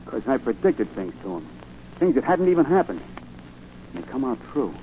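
An actor playing a jumpy, shady small-time crook with rough, slangy speech, in 1940s radio drama style. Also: male voice, medium pitch, sing-song, normal speed, mellow, close to an everyday voice. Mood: cagey, sly. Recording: muffled, lightly noisy 1940 radio recording.

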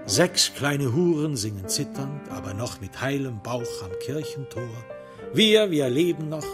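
A man acts out a taunting male killer reciting grim verse in a crime radio play. The voice sits at a medium pitch, deliberate and drawn out on the stressed words. Music runs behind it. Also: expressive, lightly acted. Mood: macabre, taunting. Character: taunting male serial killer reciting a macabre counting rhyme.

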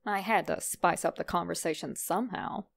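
A woman narrating a horror story in a measured, deliberate, dramatic way. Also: lowish voice, creaky.

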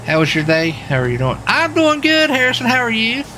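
A man's voice: very high voice, wildly swooping pitch, a little fast, pushed and bright, a little hiss or hum. A young man chatting casually while livestreaming a game, rambling and repeating himself as he goes. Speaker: livestreamer and role-player. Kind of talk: casual chat while gaming.

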